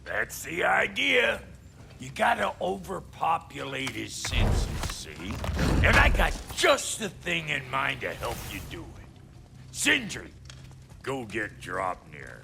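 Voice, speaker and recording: A man voicing a gruff, foul-mouthed, cocky dwarf blacksmith in a video game, with a gravelly voice and a folksy rural American drawl. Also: medium pitch, sing-song, slow, background noise, full character voice. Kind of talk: animated video game acting.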